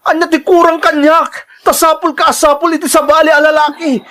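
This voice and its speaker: Very high-pitched wounded Ilocano husband, acted by a man. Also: flat delivery, a little fast, sharp tone. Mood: hurt, angry. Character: betrayed young husband, wounded and doubting. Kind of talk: Ilocano audio drama acting.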